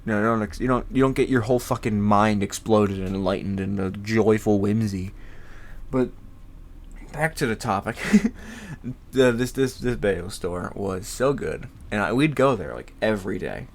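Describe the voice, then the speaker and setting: A man's voice: on the low side, moderate pace. A young man with a congested voice, rambling casually as a podcast host, swearing freely and laughing often.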